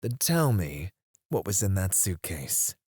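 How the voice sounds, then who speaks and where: A man playing a menacing, teasing kidnapper in an ASMR roleplay, drawling his taunts and dropping into hushed murmurs close to the microphone. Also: deep voice, sing-song, a little slow, husky, dry recording, exaggerated voice. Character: menacing, teasing male kidnapper interrogating a captive. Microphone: close studio microphone.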